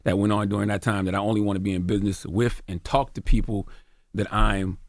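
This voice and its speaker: Male radio interviewer, lowish pitch, quick pace, dry sound, putting questions to a guest. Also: little pitch movement, dry recording.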